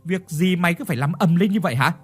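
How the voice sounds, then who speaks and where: Man acting a bossy, husky older mother-in-law. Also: a little high, big pitch swings, fast talker, full character voice. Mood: haughty, scolding. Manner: sharp scolding, bossy. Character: domineering older mother-in-law, scolding and callous. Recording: music behind the voice.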